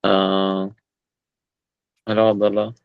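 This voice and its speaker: A man's voice: lowish voice, completely flat delivery, slow, gravelly, smooth, dry recording. A man hosting a casual online chat, hesitant, with many filler sounds. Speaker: host, community organizer. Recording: dull lo-fi call audio.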